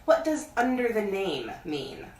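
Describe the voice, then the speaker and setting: Little storybook boy, inquisitive and insistent a woman acts him out, animated intonation, away from the mic. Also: mid-range voice, unhurried. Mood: curious. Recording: echoey room, distant mic, faint hiss.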